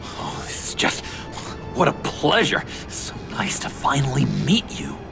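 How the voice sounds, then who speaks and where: A man voicing an excitable, nervous young superfan in a video game, stammering and theatrical, with a manic streak. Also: on the high side, a little slow, creaky, heavily acted. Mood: giddy, starstruck. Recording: music behind the voice.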